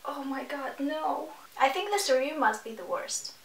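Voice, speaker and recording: A woman's voice: high voice, animated, moderate pace, creaky. A sarcastic, exasperated woman giving casual reaction commentary as she hosts a book review video. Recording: echoey room, distant mic.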